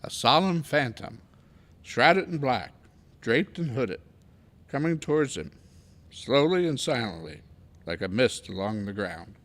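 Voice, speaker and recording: A man narrating a classic story for a radio drama in a measured, portentous manner. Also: medium pitch, expressive, a bit bright.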